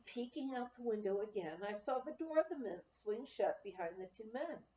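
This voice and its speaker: A woman reading a memoir aloud as expressive storytelling, acting out the dialogue as she goes. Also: a little high, normal speed, slight background noise. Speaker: audiobook reader. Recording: roomy, slightly dull home recording.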